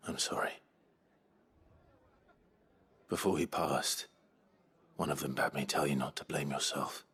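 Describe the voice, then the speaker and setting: A man's voice: a bass voice, steady tone, normal speed, a bit bright, husky, full character voice. An actor playing a grave, earnest young swordsman in a dramatic game cutscene. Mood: solemn.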